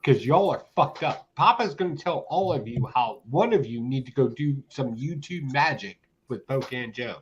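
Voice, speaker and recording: Man in his forties, show host, casual chat, hyped, lively pitch, muffled sound. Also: moderate pace, few pauses. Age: 40s. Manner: hyped, egging others on. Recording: dull lo-fi sound with faint noise.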